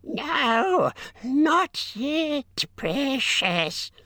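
A wretched, sly creature of the caves in a storybook reading. The voice is screechy and very high, swooping wildly, with slow, drawn-out wails and hisses. It is obsessive and repeats itself in misery. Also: male voice, sing-song, bright, forceful tone, no echo, clean studio sound, exaggerated voice. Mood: desperate. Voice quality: shrill, screechy, strained. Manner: drawn-out wailing, hissing, repetitive muttering. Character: wretched, obsessive, wailing cave creature, male, ancient and sly.

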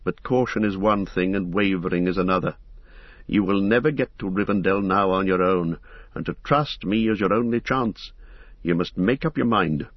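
A man voicing a grim, stern ranger in an audiobook, grave and measured. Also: low voice, dry recording. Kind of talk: audiobook character voice. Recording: slightly muffled audio.

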